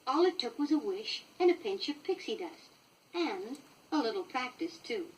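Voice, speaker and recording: Female American storybook narrator for children, highish and very animated delivery, noisy vintage 1960s record. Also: moderate pace, distant mic. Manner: expressive, clearly paced storytelling for young children. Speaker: storybook reader.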